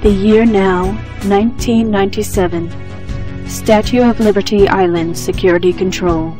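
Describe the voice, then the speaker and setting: A woman's voice: mid-range voice, fairly even intonation, moderate pace. Grave woman narrator over music. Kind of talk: scripted dramatic audio drama narration.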